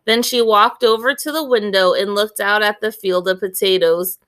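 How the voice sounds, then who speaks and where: A woman reading a children's book aloud as an expressive storyteller, with deliberate, clear phrasing. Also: medium pitch.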